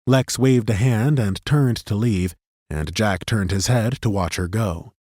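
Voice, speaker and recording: A man narrating a fantasy audiobook in a steady, measured storytelling manner on a studio microphone. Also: lowish voice, no echo.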